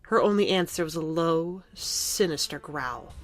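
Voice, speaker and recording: A woman's voice: deep voice, lively intonation, unhurried, noisy background. A woman reading scripted story narration for an audio drama.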